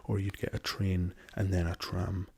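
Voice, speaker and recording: Soft-spoken hoarse man, low and gravelly. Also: monotone. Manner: soft-spoken, slow and hesitant with many ums, drifting into whispers. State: hoarse from a lingering cough. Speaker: ASMR channel host. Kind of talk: soft-spoken ASMR travel chat.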